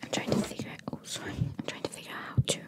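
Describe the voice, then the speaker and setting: A woman whispering very close to the microphone as an ASMR host, reading trivia aloud with casual, rambling asides and softly repeated phrases. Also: racing. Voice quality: breathy unvoiced whisper. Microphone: very close microphone, cupped by hand.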